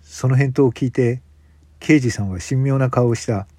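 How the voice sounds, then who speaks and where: A man's voice: mid-range voice, moderate pace, dark tone, no room sound. A man narrating a radio drama in the first person, as a storyteller.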